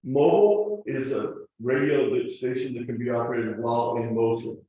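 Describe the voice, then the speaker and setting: Man, club presenter, informal explanatory lecture, dark relaxed tone, heavily echoing meeting room. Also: medium pitch, normal speed. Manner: explanatory, conversational asides. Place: echoey indoor meeting room. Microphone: distant room microphone. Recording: very echoey room, distant mic.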